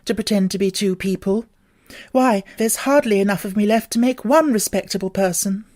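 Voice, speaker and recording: A curious little girl from a storybook, acted out by a man, talks to herself in a raised, high voice. She exclaims and scolds herself, and the sound is dry and close. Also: normal speed, a little mellow, dry recording, natural voice. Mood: forlorn.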